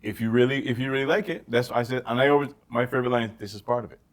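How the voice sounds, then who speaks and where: A man with a Caribbean accent tells stories in a casual podcast chat, his voice sitting in a middle pitch. He talks in an animated way, restarting and repeating words as he goes. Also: racing. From Barbados. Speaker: podcast co-host.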